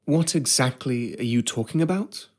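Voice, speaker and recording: A man as an animated, earnest young ship's steward. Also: medium pitch, moderate pace, natural voice. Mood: puzzled. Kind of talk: video game character acting and first-person narration.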